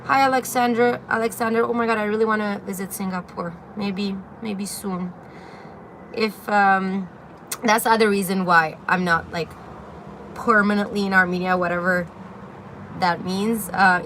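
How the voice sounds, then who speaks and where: A young woman from Syria hosting a casual livestream Q&A, chatting loosely and reading viewer comments aloud, filling pauses with frequent ums and likes. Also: mid-range voice, a bit bright, a little hiss or hum. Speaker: livestream host. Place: outdoor balcony with greenery. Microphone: phone camera at arm's length.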